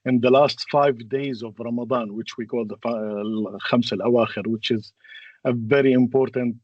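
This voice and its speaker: A man, a native Arabic speaker, moderating a webinar and introducing speakers in a halting, hesitant way. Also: medium pitch, steady tone, no room sound, highs cut off.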